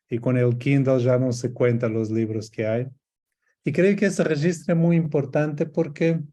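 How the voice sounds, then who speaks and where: A native Portuguese-speaking man with a Portuguese accent and Rioplatense traces, giving a reflective personal talk in a halting, thoughtful way. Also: medium pitch, mellow. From Portuguese-speaking Africa. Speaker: guest speaker, coach. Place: at home, by bookshelves, on a video call.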